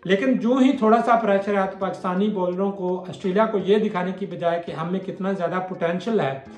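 A man's voice: high voice, brisk, darkish tone. A young man gives a blunt, mocking cricket analysis straight to camera in a small bare room. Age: young adult. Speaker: cricket commentator vlogger. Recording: echoey room, faint music behind.

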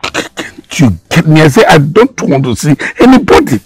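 An older man with a Nigerian accent playing an emotional, quick-tempered but tender father in a film drama, halting and repeating himself in a gravelly, husky voice. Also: sits low, expressive, no room sound, heavily acted. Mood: angry.

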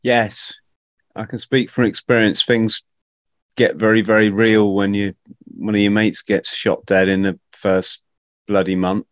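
A middle-aged host with a lowish voice chats in relaxed banter, tossing in jokey asides and frequent laughs. His studio mic at home gives a dry, close sound. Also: unhurried, no echo. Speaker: podcast host. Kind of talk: casual interview chat. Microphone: studio mic with headphones.

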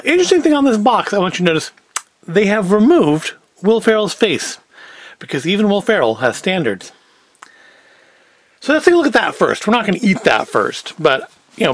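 A man's voice: sits high, a bit bright, no room sound. A middle-aged man giving a casual, unscripted product review as host, with wry, sarcastic asides. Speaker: host of a food review show.

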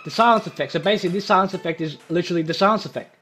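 A young man giving a casual, informal software tutorial, repeating himself as he explains. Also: sits high, racing, slightly rough. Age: young adult. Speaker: tutorial video host. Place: small home room. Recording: faint music behind voice.

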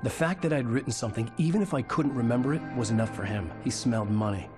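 A man's voice: medium pitch, fast, music in the background, natural voice. An actor voicing a terse, brooding male writer who narrates a video game. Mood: brooding narration. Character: weary troubled male novelist. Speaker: protagonist and narrator.

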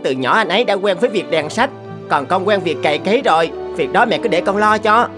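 A woman voicing a respectful, earnest young son in an animated folk tale. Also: mid-range voice, fairly quick, over music, lightly acted.

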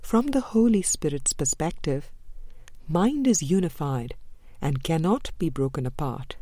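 A woman reading a spiritual book aloud in a measured, deliberate way, pausing between short phrases. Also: low voice, dark tone, no echo. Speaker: audiobook reader.

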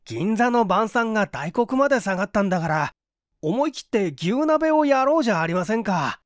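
A man's voice: high voice, expressive, a little fast, dry recording, studio quality, voice pushed up. A man voicing a broke, hungry fellow in a storybook reading, coaxing and wry in half-polite talk.

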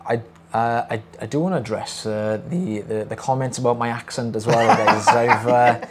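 A man's voice: mid-range voice, normal speed, heavy background noise. A young man with a broad, strong South Welsh accent, chatting casually and a little hesitantly as a co-presenting audiologist. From South Wales. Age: young adult. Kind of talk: casual chat.

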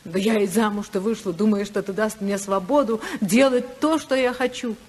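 An actress playing a passionate, unhappy senator's wife in love, in a fervent, emotional 1950s radio drama style. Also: female voice, medium pitch, moderate pace, a little mellow, slightly rough, natural voice. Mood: bitter, weary.

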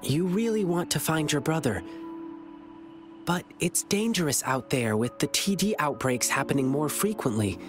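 Young beast-boy game character, protective, considerate, lively intonation, background music. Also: low-pitched, moderate pace. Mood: concerned, earnest. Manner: earnest, expressive, considerate. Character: earnest young lion-eared boy performer, protective and friendly. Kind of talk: scripted video game dialogue.

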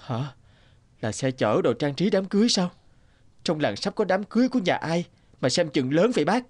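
A man's voice: on the high side, sing-song, moderate pace. A man playing an earnest, anxious young employee in a cartoon drama, speaking in a pleading way. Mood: surprised. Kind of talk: dubbed cartoon drama acting.

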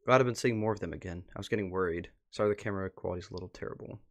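A man's voice: deep voice, rapid-fire. A man hosting a casual livestream, chatting and muttering asides to himself as he goes through posts at his computer. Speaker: livestream host. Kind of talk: casual livestream commentary, reading posts aloud. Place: indoors at a computer.

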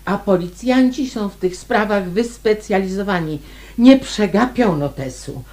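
A radio play heroine: a shrewd amateur detective with a mid-pitched voice. She speaks slowly and theatrically, with defiance. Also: animated, a little mellow. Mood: defiant, accusing. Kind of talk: radio drama acting.

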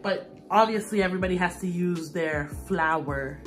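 A woman's voice: lowish voice, moderate pace. Chatty upbeat woman host. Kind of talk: casual cooking show chat. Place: home kitchen, indoors. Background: background music.

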